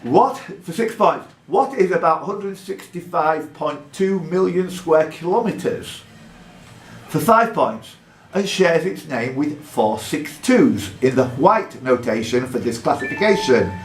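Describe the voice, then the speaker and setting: A man working as a quiz master, reading buzzer questions aloud in a deliberate way and announcing point values between clues. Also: on the high side, expressive. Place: pub room with contestants. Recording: echoey room with faint background noise.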